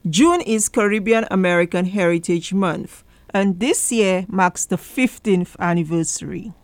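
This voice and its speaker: A woman co-host reading a formal announcement in a measured way. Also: on the low side, no room sound. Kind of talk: scripted reading.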